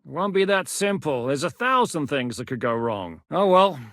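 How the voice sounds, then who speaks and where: A blunt, battle-hardened war commander from a video game, handing out orders in a voice pitched a little above the usual for a man. He talks plainly and commandingly and swears without a second thought. The studio sound is dry and close, with no room echo. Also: big pitch swings, normal speed, a bit bright. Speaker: military commander. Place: studio booth.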